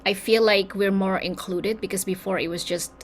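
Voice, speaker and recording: A woman from Indonesia speaks on a community panel, talking in quick, animated bursts with a mid-range voice. Faint background ambience sits behind her. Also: mid-range voice, slight background noise. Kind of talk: panel discussion.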